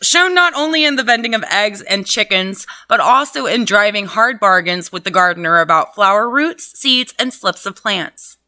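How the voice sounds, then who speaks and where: A woman's voice: mid-range voice, lively intonation, normal speed, hardly any pauses, sharp tone, no room sound. A woman reading a classic novel aloud at home, breaking off for casual, laughing, profane asides. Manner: laughs often, swears, chatty asides. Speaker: reader and host. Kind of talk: casual book reading with commentary.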